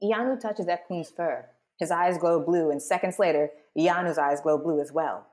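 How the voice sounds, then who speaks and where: A woman's voice: lowish voice, moderate pace, a little mellow. A woman hosting a live table read and narrating the scripted scenes. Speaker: host and narrator.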